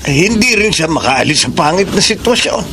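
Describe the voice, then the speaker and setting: A man narrating a radio drama story in a measured storytelling manner. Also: high-pitched, big pitch swings, noisy background. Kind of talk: scripted narration. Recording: radio drama with background music.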